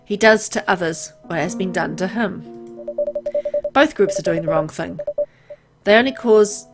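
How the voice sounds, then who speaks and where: A woman voicing an embittered, world-weary immortal man in a visual novel, explaining things gravely. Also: mid-range voice, unhurried, lightly acted. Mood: bitter, explaining. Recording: loud music behind voice.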